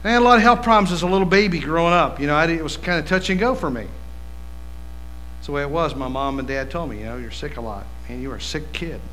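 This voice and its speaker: Man in his fifties, pastor, folksy animated preaching, bright forceful voice, faint hum behind. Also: a little high, fast, rough recording. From West Virginia. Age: 50s. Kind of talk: sermon.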